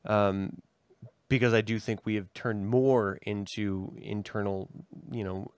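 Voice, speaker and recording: An American man hosting a casual discussion, speaking reflectively with halting phrasing and the odd swear word. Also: lowish voice, steady tone, clean tone, no room sound. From Ogden, Utah, United States. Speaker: host of a reading and discussion show.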